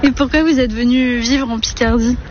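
High-voiced female radio reporter. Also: steady tone, moderate pace. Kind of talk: radio street interview. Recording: lo-fi with background noise.

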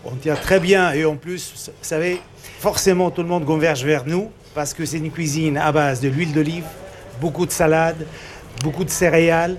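Man, fairly high voice. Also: steady tone, normal speed, soft background music. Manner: warm promotional, hesitant fillers. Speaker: restaurant worker. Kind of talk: street interview.

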